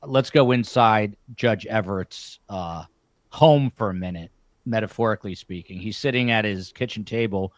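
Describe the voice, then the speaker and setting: Lowish-voiced male host, dry sound. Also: normal speed, no room sound. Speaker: panel host. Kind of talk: casual moderating of a legal discussion.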